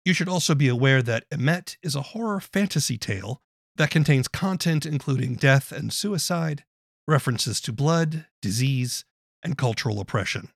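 A man reading a scripted podcast introduction as the producer, in a measured, polite and formal way. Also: medium pitch, lively intonation, creaky, no echo, studio quality. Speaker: audio drama producer introducing an episode.

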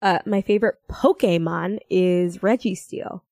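A woman's voice: on the low side, a little slow, vocal fry, a little mellow, dry recording. Young American woman, podcast co-host, playful and rambling, giggly, dry close sound. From Minnesota, United States. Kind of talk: casual chat.

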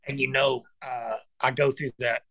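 A man's voice: medium pitch, little pitch movement, normal speed, a bit bright, dry recording. A man of fifty, a guest musician chatting casually and earnestly, calling his host brother and dude. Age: 50s. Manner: earnest, encouraging, says brother and dude a lot. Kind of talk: casual chat.

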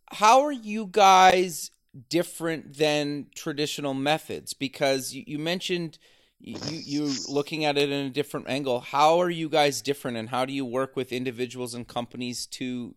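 A man's voice: fairly high pitch, normal speed, clear, resonant tone. A man hosting an interview show, asking questions in a casual, conversational way. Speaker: podcast host, interviewer.

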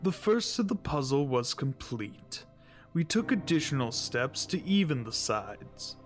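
A man's voice: mid-range voice, sing-song, measured pace, faint music behind. A man playing a cold, fanatical alien mystic captor who speaks in stilted, formal pronouncements in an audio drama. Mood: cold menace.

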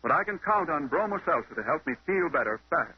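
A man's voice: on the high side, big pitch swings, fairly quick, breathy edge, no echo, lo-fi sound, exaggerated voice. An actor playing an earnest fireman giving a scripted testimonial in a 1930s radio advertisement, on an old broadcast recording.

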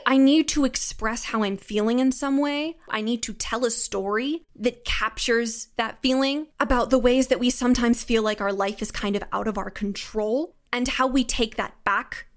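A woman giving reflective, articulate interview answers in an earnest way, as a creative guest. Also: a little high. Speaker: podcast guest, audio drama writer and sound designer.

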